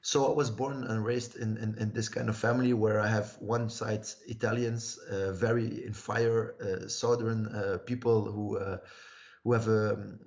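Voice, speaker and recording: A man in his thirties from Belgium, telling his life story as a livestream guest in a halting way, searching for words. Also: fairly low pitch, level tone, a little mellow. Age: 30s. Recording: muffled lo-fi livestream audio.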